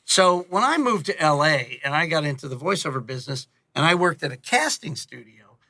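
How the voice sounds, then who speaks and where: A middle-aged American man telling anecdotes in an animated, self-deprecating way and acting out bits of dialogue. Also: a little high, a little fast, dry recording, rough recording. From Illinois, United States. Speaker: podcast guest, voiceover producer.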